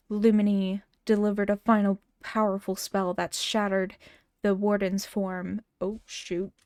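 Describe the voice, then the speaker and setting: A woman's voice: mid-range voice, a little mellow, smooth, no echo, clean studio sound. A woman streamer in casual chat with her viewers, stuttering often and restarting words, laughing now and then. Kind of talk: casual livestream chat, reading chat messages and a story aloud.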